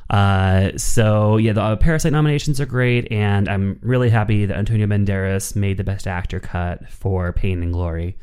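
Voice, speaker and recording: A man's voice: on the low side, steady tone, moderate pace, hardly any pauses, a little mellow, clean tone. A man co-hosting a film podcast in casual chat, talking with a dry, wry sense of humor.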